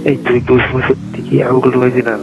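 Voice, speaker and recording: A man's voice: mid-range voice, completely flat delivery, rapid-fire. A man with a Bangladeshi accent chatting intimately and casually on the phone, teasing and coaxing as he talks. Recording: noisy phone call recording, clicks.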